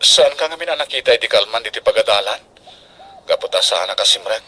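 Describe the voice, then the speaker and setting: A man playing a shy young student suitor in a radio drama, coaxing and halting, with an Ilocano Filipino accent. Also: lowish voice, expressive, bright, forceful tone, a little hiss or hum. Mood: shy, nervous.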